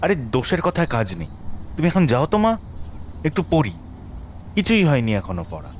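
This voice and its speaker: Young student brushing things off, lively voice, faint outdoor ambience. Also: male voice, on the high side, moderate pace, slight background noise. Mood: impatient. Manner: offhand, grumbling. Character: dismissive young male student, impatient.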